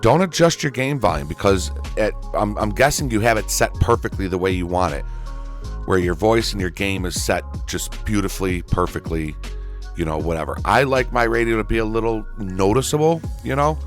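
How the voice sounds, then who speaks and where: Male gaming tutorial host, low-pitched voice, folksy and casual, faint music underneath. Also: animated, normal speed. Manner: folksy, addresses viewers as y'all and folks. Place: indoors at a gaming computer, voice over screen recording.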